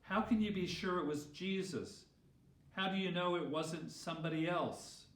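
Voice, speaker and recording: A man's voice: sits high, steady tone, moderate pace, a bit bright. A man voicing an earnest, curious, doubting male disciple in a children's Bible story, with emphatic questioning delivery. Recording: roomy echo, distant microphone.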